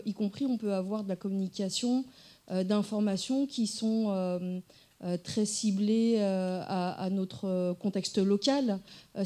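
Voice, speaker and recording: A woman speaks off the cuff at a technical conference. Her tone is soft and dark, at an average pitch. She explains procedures in a halting way, with frequent pauses.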